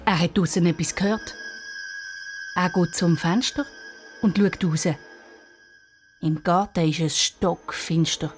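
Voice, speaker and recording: Female narrator, Swiss accent, slightly low voice, reading a children's adventure story with clear background music. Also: slow, pauses often.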